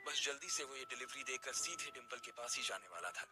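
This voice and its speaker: A man's voice: medium pitch, animated, a little fast, loud music behind the voice. A man narrating an audio story in a dramatic storytelling style.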